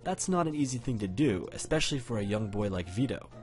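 A man voicing a calm, elderly grandfather who speaks steadily in a narrated story. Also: mid-range voice, faint music behind, lightly acted. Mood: reassuring. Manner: slow, composed.

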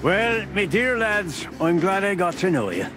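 An actor playing a folksy, sly old beaver shopkeeper in a film noir game, with a teasing manner. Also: male voice, high-pitched, sing-song, a little slow. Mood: jovial, sly. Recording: music behind the voice.

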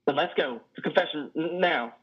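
A man in his thirties playing a troubled, needy young trucker in a stage play reading, his voice husky. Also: fairly high pitch, normal speed. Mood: anxious. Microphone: video call with headset. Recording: dull video-call audio.

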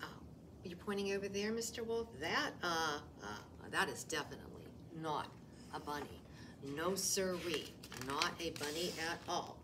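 A nervous little storybook rabbit, acted out by a woman reading aloud, swinging widely in pitch. It stammers and piles on flustered denials. The voice sits a little away from the mic. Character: nervous, fibbing little rabbit hiding its kind from wolf. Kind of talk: read-aloud children's picture book. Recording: distant mic, faint room noise.

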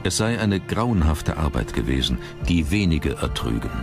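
A man reading scripted documentary narration in a measured, dramatic manner. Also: extremely low pitch, big pitch swings. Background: background music.

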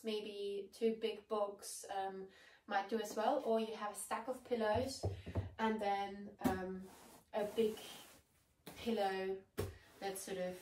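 A woman in her thirties with a blocked nose from a cold, guiding a yoga session in a gentle, soothing way from across a bare living room. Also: medium pitch, a little hiss or hum. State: congested with a cold. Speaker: yoga teacher. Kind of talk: guided yoga instruction. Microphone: far camera. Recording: echoey room, mic far off.

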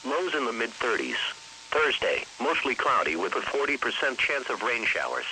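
A computer-generated man's voice delivers a formal weather bulletin. The tone is very bright and sharp, at a medium pitch. It comes over a radio broadcast with a faint hiss behind it. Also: sing-song, moderate pace, low-quality recording. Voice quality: synthetic text-to-speech voice. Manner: formal, impersonal announcing. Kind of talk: scripted weather bulletin reading.

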